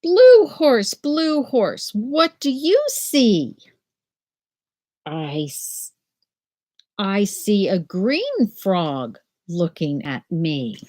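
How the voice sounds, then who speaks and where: An older woman teaching children from home over a webcam, explaining a picture book and then reading it aloud in a gentle sing-song. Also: mid-range voice, huge pitch swings, very slow. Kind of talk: children's read-aloud lesson. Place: small room at home. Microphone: laptop webcam video call.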